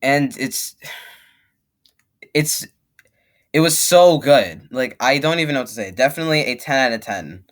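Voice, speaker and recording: A man's voice: medium pitch, animated, moderate pace, long pauses. A young man hosting a reaction video with casual, rambling commentary, emphatic and repeating himself to make a point. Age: young adult.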